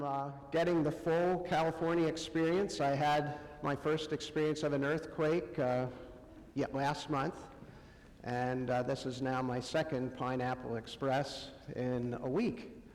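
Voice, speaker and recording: Male preacher, unhurried and halting, fairly high voice, conversational sermon delivery in a long-reverb church space. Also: slight background noise. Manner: halting, pausing often between short phrases. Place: church sanctuary, amplified to a congregation.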